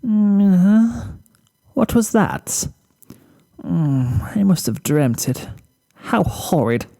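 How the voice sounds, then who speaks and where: A man's voice: high voice, sing-song, extremely slow, vocal fry, mellow, voice pushed up, heavily acted. A man voicing a fussy, theatrical, sleep-deprived lady pony fashionista in a storybook reading. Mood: groggy, annoyed. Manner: theatrical, prim, self-pitying.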